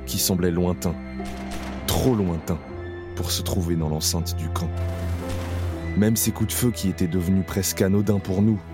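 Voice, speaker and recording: Deep solemn male narrator over music. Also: fairly even intonation. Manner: slow, solemn, measured. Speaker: narrator playing Isaac, camp prisoner. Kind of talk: audio drama narration. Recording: clear music bed under voice.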